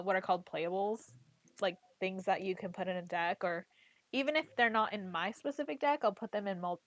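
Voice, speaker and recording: A woman's voice: medium pitch, no room sound. A woman chatting casually about playing a card game, with a congested voice from a cold and a habit of saying "like" and "literally". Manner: chatty, heavy use of "like" and "literally". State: congested, getting over a cold. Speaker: trading card game player and content creator. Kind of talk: casual chat.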